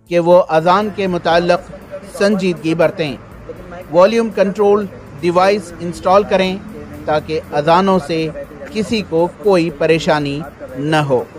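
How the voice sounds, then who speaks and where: A man reading a formal, measured news voice-over as a reporter. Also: high-pitched.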